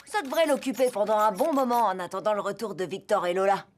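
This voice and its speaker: A performer voicing a scheming, cheeky young boy who confides in an animated way, in French cartoon dubbing. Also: medium pitch, fast, lightly acted. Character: scheming young boy, cheeky narrator of his own pranks. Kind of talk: French cartoon dubbing, first-person narration.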